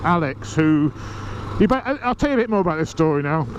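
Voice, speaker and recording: A man's voice: a little high, expressive, fast talker, lo-fi sound. A man talking casually while riding a motorbike, chatty and cheeky with the odd swear word, snuffly from hay fever. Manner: chatty, cheeky and self-mocking, swearing now and then. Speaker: motorcycle vlogger. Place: riding a motorbike outdoors on urban roads. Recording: loud wind and traffic noise.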